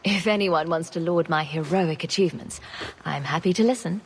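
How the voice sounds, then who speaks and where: An actress playing a self-assured, sarcastic champion in a video game, with dry, deadpan delivery. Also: female voice, on the low side, normal speed, soft background music, lightly acted. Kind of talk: video game cutscene acting.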